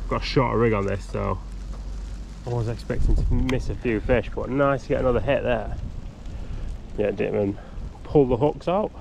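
A young man talks to his camera in a chatty, upbeat way. His voice has a gravelly edge, and a faint hiss of wind and outdoors sits behind him on the action-cam recording. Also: medium pitch, normal speed, darkish tone. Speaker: fishing vlogger. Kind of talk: casual outdoor commentary. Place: windy riverbank outdoors. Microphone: action camera on body or tripod.

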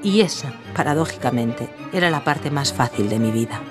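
An actress playing a witty, glamorous film-star inventor in a radio drama, with playful, theatrical delivery. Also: female voice, rumbling low, animated, normal speed, music in the background. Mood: wry, reflective. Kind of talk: radio drama acting and first-person narration.

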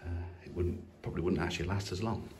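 Man, very low voice, phone held out, empty rooms, faint hiss. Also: fairly even intonation, fast talker, a little mellow. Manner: casual, hesitant, many fillers and restarts. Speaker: property investor. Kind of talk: walkthrough video. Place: empty house indoors. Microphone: phone at arm's length.

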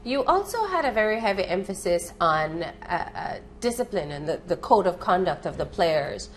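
A woman's voice: on the low side, big pitch swings, moderate pace, a little hiss or hum. A woman hosting a studio talk show and putting questions to her guests. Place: TV studio set, indoors.

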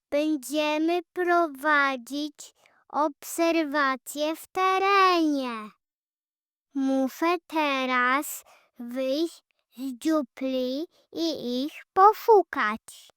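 A woman voicing an eager, chatty little squirrel boy with an excited, childlike manner in a children's audio fairy tale. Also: sits high, no echo, clean studio sound, pitched above the performer's own voice, full character voice.

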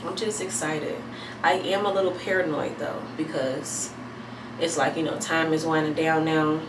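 Low-voiced woman rambling in an echoey bathroom. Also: normal speed. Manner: relaxed, rambling, thinking aloud. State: heavily pregnant. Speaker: vlogger. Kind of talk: casual chat to camera. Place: small bathroom at home, indoors. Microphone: camera mic a few feet away. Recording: echoey room, distant mic, air conditioner hum.

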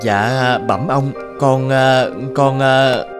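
A man voicing a poor, timid peasant father in an animated folk tale, humble and hesitant as he pleads. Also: medium pitch, extremely slow, over music. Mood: anxious, humble.

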